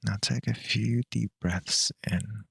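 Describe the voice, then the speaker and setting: A man leading a guided meditation in a calm, measured, unhurried way with long pauses between phrases. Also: fairly low pitch, creaky, slightly rough, no room sound.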